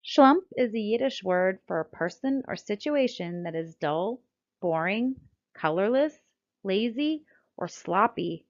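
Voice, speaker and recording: A woman's voice: mid-range voice, animated, measured pace. American woman reading aloud, slightly muffled recording. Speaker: children's book author reading her own book. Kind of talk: scripted read-aloud storytelling and narration. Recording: dull, lo-fi sound.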